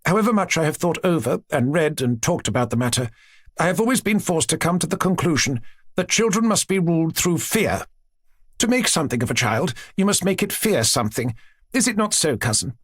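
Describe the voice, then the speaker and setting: A man's voice: fairly high pitch, big pitch swings, fairly quick, breathy edge, no room sound, studio quality, pitched above the performer's own voice. A man reading aloud, voicing a chatty aristocratic princess who talks insistently, as though someone were contradicting her. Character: chatty aristocratic woman, princess, strict mother.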